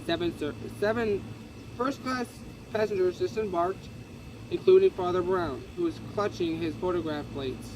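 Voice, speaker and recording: High-voiced man reading aloud over traffic noise. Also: normal speed, a little mellow, poor audio. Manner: casual, stumbling reading with self-corrections. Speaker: reader. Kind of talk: reading a history book aloud with asides.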